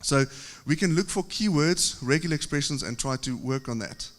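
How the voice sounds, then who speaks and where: A man from South Africa with a South African accent, giving a technical conference presentation at a podium with steady explanations and occasional filler pauses. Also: a little high. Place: large conference hall. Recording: reverberant conference hall.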